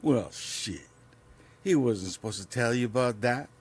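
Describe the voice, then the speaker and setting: A man's voice: medium pitch, unhurried, slightly rough. A man playing a cocky, roguish survivor and raider in an audio drama, laconic and wry. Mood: defensive. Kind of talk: naturalistic audio drama acting.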